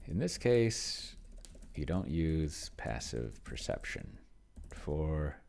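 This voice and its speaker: A man with a very low, gravelly voice gives casual, think-aloud game commentary. He speaks haltingly, half muttering to himself as he works through things and types. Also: big pitch swings, darkish tone, a little hiss or hum. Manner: self-described pedantic. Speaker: solo tabletop player and video host.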